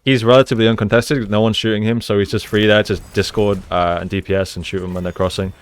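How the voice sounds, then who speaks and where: A man giving gameplay analysis commentary as a coach, explaining in a measured way and repeating phrases for emphasis. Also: on the low side, flat delivery, smooth. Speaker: esports coach, video host.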